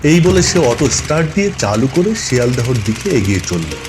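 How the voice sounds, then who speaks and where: A man's voice: medium pitch, very animated, brisk, background noise. A man narrating a scripted ghost story for a cartoon as its storyteller.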